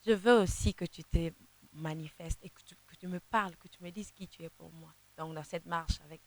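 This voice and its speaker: A young woman, a gospel singer whose first language is Kinande, answering interview questions in a halting way, often repeating words as she searches for them. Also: fairly low pitch, no room sound. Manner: sings softly in places.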